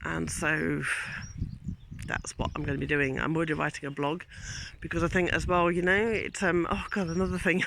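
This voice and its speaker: A man's voice: on the high side, little pitch movement, moderate pace, gravelly, background noise. A man, a teacher, musing in a rambling, reflective way on a casual walk outdoors in the countryside, filmed on a handheld phone. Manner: rambling, reflective, loses train of thought. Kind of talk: casual vlog monologue. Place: outdoors in countryside while walking.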